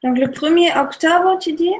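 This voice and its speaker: A woman with a German accent, a German native speaker, slowly explaining a topic on a livestream and often hesitating with fillers. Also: extremely high pitch. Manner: hesitant, many fillers and restarts. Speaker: Maya calendar guest speaker.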